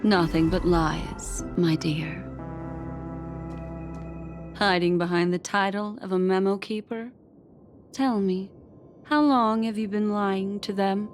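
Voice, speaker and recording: A poised game envoy woman, voiced by an actress who needles with knowing condescension. Her theatrical lines come unhurried, with music clearly playing behind her. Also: medium pitch, steady tone, natural voice. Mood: taunting. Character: poised, needling female envoy and exposer of lies. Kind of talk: video game voice acting.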